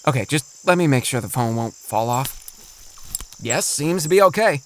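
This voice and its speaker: Man acting animated, good-natured middle-aged dad. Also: medium pitch, normal speed, a little hiss or hum, natural voice. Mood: careful. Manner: calming, reasoning. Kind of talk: children's audio drama acting.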